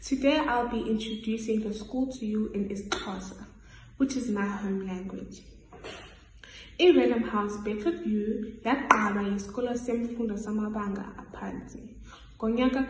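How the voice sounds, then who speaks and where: A teenage South African schoolgirl giving a steady, prepared presentation about her school, recorded indoors on a phone or webcam. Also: mid-range voice, echoey room, a little hiss or hum, low-quality recording. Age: teens. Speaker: student presenter.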